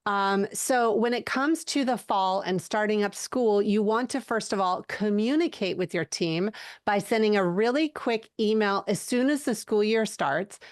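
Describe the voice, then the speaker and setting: A woman's voice: mid-range voice, a little slow, no room sound, clean studio sound. A middle-aged woman giving conversational advice as a podcast guest, explaining things informally. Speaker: podcast guest, educational advocate.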